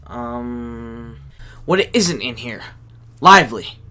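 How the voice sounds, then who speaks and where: A man acts out a brash young rebel of the swing scene in an audio drama. His voice is bright and swings widely in pitch, full of cocky, slangy teasing. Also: animated, drawn-out words, heavily acted.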